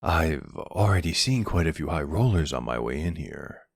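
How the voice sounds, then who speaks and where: Man acting as male vampire, smug and seductive, raspy, lively intonation, close dry sound, intimate ASMR roleplay. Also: normal speed, no room sound, heavily acted. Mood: smug, teasing. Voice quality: husky, gravelly, very deep. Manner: teasing, drawling, softly menacing with wistful undertones. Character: flirty, smug male vampire taunting a captive hunter.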